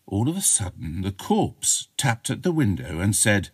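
A man's voice: fairly low pitch, sing-song, unhurried, background noise. A man narrating a short chiller as an audiobook reader, in a dramatic storytelling style.

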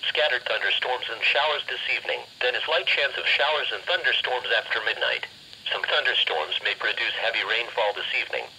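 A synthetic man's voice reading automated weather bulletins formally through a radio speaker, with flat, measured phrasing. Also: medium pitch, rough recording. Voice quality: synthetic text-to-speech voice. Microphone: camera held above radio. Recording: faint noise, radio speaker re-recorded.

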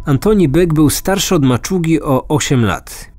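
A man's voice: on the high side, lively intonation, normal speed, darkish tone, soft background music. A man narrating a scripted true-crime story as a podcast host.

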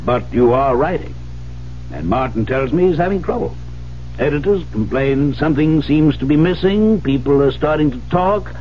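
A dull, slightly noisy vintage radio recording. A man acts a probing male psychiatrist, firm and skeptical, pressing each question deliberately with wide, expressive intonation. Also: on the high side, natural voice. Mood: challenging. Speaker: actor in a radio drama. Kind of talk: 1970s radio drama acting. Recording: muffled, noisy 1970s radio broadcast.

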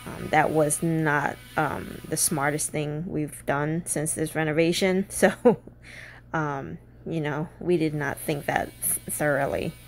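A woman's voice: low voice, fairly even intonation, takes it slowly, faint music behind. A woman casually narrating a home renovation video, chatty and full of ums while giving practical tips.

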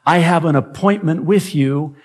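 A man in his forties, a native Arabic speaker, preaching a sermon from a church pulpit into a gooseneck mic with measured, emphatic phrasing. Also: a little high, husky. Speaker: pastor.

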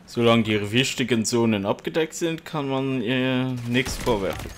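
A man's voice: mid-range voice, normal speed. A man chatting casually with friends in a voice chat. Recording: noisy voice chat with clicks. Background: noise and clicks.

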